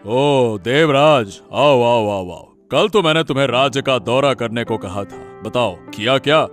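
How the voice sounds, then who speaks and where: Man doing a calm fair king in a kids cartoon, mid voice, unhurried and fatherly but firm, music under it. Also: mid-range voice, close to an everyday voice. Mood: genial. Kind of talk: animated moral story acting.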